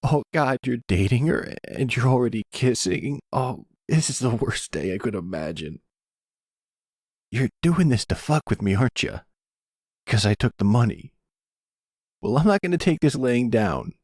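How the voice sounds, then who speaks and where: A man's voice: mid-range voice, lively intonation, moderate pace, a little mellow, no echo, clean studio sound, voice pushed up, lightly acted. A man voicing a hot-headed, whiny young man in mob trouble for an audio roleplay, defiant and stammering when rattled. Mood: indignant, angry.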